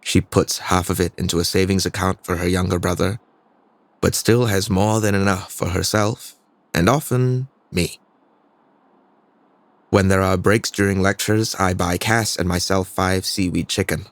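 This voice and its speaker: A man's voice: sits low, fairly even intonation, measured pace, no room sound. A young man performing a scripted dramatic monologue as a troubled, introspective student telling his own life. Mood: reflective.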